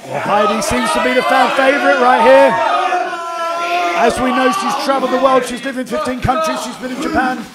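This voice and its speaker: A hungover man with a raspy voice, doing excitable, crude play-by-play commentary in a pub. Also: extremely high pitch, brisk. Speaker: wrestling commentator. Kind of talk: shouted ringside commentary. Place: crowded pub and street. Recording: loud crowd and noise.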